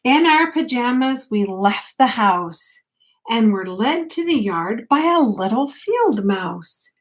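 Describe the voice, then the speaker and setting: An older woman, a grandmother, reading a picture book aloud at bedtime, expressively, with gentle questions to a child. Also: on the high side, animated, takes it slowly.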